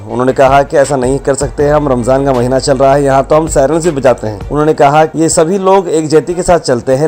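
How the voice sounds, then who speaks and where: A man's voice: a little high, a little mellow. A man reading a scripted news report as a reporter. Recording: voice-over with music behind. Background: background music.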